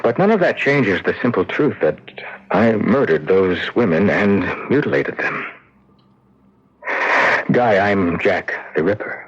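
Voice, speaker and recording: An actor playing a dying assistant professor with a murderous secret, speaking in a halting, weighty radio drama style. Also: male voice, on the low side, very animated, husky, exaggerated voice. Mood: anguished, confessing. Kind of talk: 1970s radio drama acting. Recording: dull lo-fi old radio broadcast.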